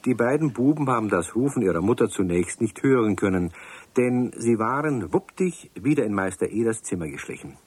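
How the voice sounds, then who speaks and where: A man narrating a children's radio play in an expressive storytelling way. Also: lowish voice, brisk, slightly rough, dry recording.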